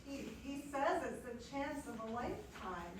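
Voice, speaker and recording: Lady doing sweet young bride, old radio play, high voice, far from mic. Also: sing-song, unhurried. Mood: subdued. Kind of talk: live radio play acting, 1940s American. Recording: echoey hall from far off, faint noise.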